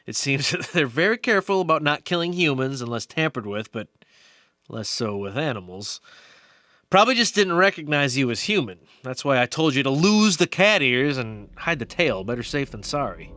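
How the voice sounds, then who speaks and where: Actor as bright, insistent male scientist. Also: medium pitch, very animated, moderate pace, no room sound, studio quality. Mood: knowing, cynical. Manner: emphatic, explanatory. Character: insistent, knowing research biologist and cover-up believer. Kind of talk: audio drama acting.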